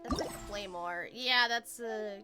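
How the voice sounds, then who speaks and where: A woman chatting casually and excitably as she streams a game, often thinking aloud and exclaiming. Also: mid-range voice, a little slow. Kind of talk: casual livestream gameplay chat. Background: video game music.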